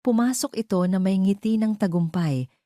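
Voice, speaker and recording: A woman with a Filipino accent narrating a scripted dramatic story in a measured, storytelling way. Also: medium pitch, dark tone, no room sound, clean studio sound.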